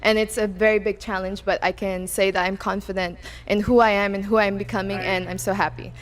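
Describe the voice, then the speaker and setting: A woman's voice: mid-range voice, little pitch movement, a little fast. A woman in her twenties who grew up in Macau, a beauty pageant candidate answering interview questions in a bubbly way and mixing Tagalog words into her English. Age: 20s.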